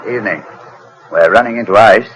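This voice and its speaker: An actor playing a curt, grave ship's officer with a husky voice in a 1940s radio drama. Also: male voice, lowish voice, fairly quick, fairly bright tone, a little hiss or hum, natural voice, low-quality recording.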